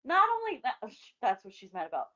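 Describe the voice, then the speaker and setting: A woman with a fairly high voice rants in casual chat, emphatic and quick to get exasperated. She swears freely and pushes hard on her points. The recording has a dull, lo-fi sound. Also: wildly swooping pitch, bright, forceful tone, no echo.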